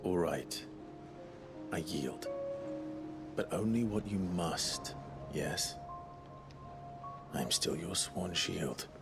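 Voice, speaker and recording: Resolute, battle-worn game hero; deep, restrained voice, prominent score behind. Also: male voice, lively intonation, very slow, gravelly, long pauses, exaggerated voice. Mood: concerned. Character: resolute, weary male warrior and sworn protector. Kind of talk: cinematic game voice acting. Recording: orchestral music behind the voice.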